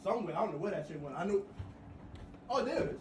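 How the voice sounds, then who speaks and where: A young man in an excited panic, chattering in slang at housemates on a home recording. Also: high voice, fast talker. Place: indoors in a house, far from the mic. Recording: echoey, noisy home video, far from mic.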